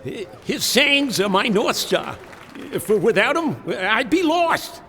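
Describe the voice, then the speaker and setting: High, echoing folksy old-timer. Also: male voice, sing-song, slow, bright, forceful tone, a faint crowd, slight background noise, full character voice. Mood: fervent. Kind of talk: comic celebrity impression.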